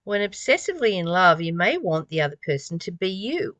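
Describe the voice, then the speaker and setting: A woman's voice: on the low side, very animated, fairly quick, fairly bright tone, no echo. A woman reading spiritual text aloud and commenting on it informally in a reflective, musing way, often posing rhetorical questions. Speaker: spiritual study reader and commentator.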